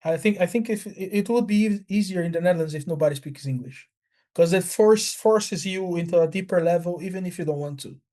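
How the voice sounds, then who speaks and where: A man with a notably high voice and an Italian accent, chatting casually as a native speaker of Italian and Portuguese. He talks in a halting, repetitive way and is blunt about it. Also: mellow. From Brazil. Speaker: language learner. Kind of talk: casual chat.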